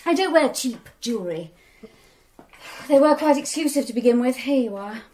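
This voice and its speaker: An actress playing a haughty, sharp-tongued young British society woman, brusque and snobbish, in a radio play. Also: sits high, lively intonation, moderate pace. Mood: offhand, haughty.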